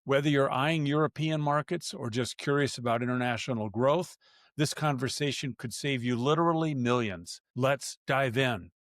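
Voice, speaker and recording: A man's voice: mid-range voice, normal speed, fairly bright tone, no room sound. Podcast host man, dry close sound. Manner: rambling with frequent restarts. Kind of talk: podcast interview.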